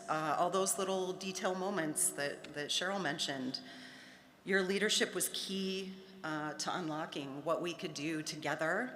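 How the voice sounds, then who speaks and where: A woman's voice: on the low side, a bit bright, a little hiss or hum. A woman in her fifties giving a heartfelt induction speech at a podium, with light humorous asides. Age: 50s. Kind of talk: prepared ceremony speech. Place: large hall at an awards ceremony. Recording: echoey hall with faint ambience.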